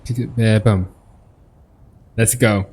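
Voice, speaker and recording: Low-voiced man, casual gaming commentary. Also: steady tone, extremely slow, a little mellow, slight background noise. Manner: hesitant, repeats words, thinks aloud. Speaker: gaming YouTuber. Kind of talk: casual let's-play commentary.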